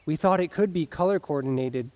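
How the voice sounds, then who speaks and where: A man plays a young soldier in an audio drama. His voice is on the high side for a man, and he talks plainly and casually, as if reporting to a superior he knows well. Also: a little fast, darkish tone, big-room sound, close to an everyday voice. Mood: matter-of-fact.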